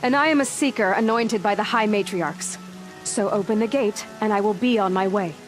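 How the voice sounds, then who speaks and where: A woman's voice: mid-range voice, moderate pace, soft background music, lightly acted. A woman acting a resolute young outcast warrior in a video game cutscene, earnest and determined. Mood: firm, defiant.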